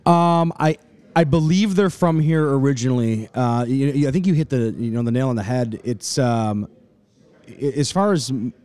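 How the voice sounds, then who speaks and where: A man's voice: mid-range voice, animated, normal speed, a little mellow, clear, resonant tone. A forty-year-old man from Michigan, a fight matchmaker answering interview questions in a chatty way, full of "you know". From Michigan, USA. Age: 40s. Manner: talkative, many fillers like "you know".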